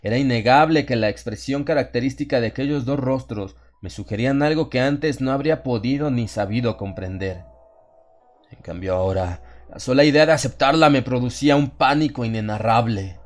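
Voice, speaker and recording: A man reading a first-person horror story as a scripted audiobook, with measured, solemn and dramatic delivery. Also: medium pitch. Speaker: audiobook narrator.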